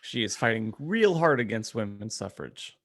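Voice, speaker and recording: A man's voice: fairly low pitch, sing-song, moderate pace, no echo, studio quality. A man co-hosting a podcast, adding wry, teasing asides in casual banter. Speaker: podcast co-host.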